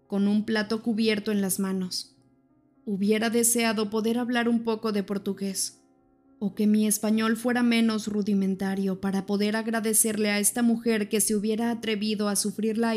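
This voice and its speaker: A woman narrates an audiobook in the first person, reading in a measured, even manner. Her delivery stays flat, with little rise and fall, and her pitch sits in a middle range. Soft music plays faintly underneath.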